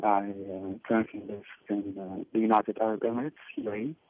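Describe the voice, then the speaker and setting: A man's voice: fairly low pitch, fairly even intonation, fairly quick. A man in his twenties from Sudan, a musician guest talking in a hesitant way with many fillers. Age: 20s. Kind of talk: phone interview. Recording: dry tinny phone line.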